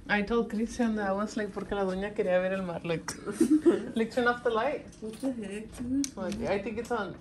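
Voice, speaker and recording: A woman's voice: mid-range voice, fairly quick, a little hiss or hum. A young woman in her twenties vlogging in a hotel, chatting casually, swearing and laughing, dropping in Spanish phrases. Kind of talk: casual chat. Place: hotel room and hallways, indoors. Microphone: handheld phone camera.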